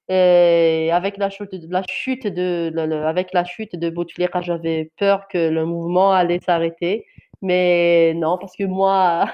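Algerian woman, kabyle native, journalist talking earnestly, lots of um and restarts, kinda dull muffled sound. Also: sits low. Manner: hesitant, many restarts and fillers, earnest. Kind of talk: interview answer, personal account.

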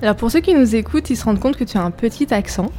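A woman's voice: a little high, lively intonation, very fast, mellow, a little hiss or hum. A woman hosting her podcast as a coach, welcoming and interviewing her guest with effusive enthusiasm.

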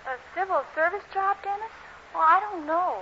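Very high-voiced doubtful girlfriend, old radio. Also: moderate pace. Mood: mildly doubtful. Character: young girlfriend, mildly doubtful and sweet. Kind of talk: 1940s radio sitcom acting. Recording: noisy muffled 1940s radio recording.

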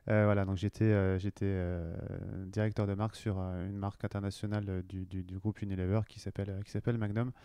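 A man's voice: lowish voice, little pitch movement, fast talker, hardly any pauses, dark tone, smooth, no echo. A man in his forties, a marketing director talking through his career in an interview, hesitant with many fillers and restarts. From western France. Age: 40s. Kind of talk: conversational interview.

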